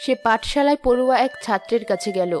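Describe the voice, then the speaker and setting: A woman's voice: on the high side, quick pace, a little mellow. A woman giving scripted narration for a fairy tale. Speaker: story narrator. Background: background music.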